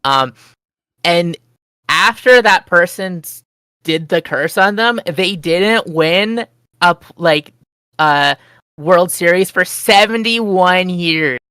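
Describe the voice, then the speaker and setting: A woman telling a rambling story on an online call, excitable and jumping up in pitch. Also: slow pace, clear, resonant tone, no echo. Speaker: group chat participant. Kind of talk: casual storytelling chat.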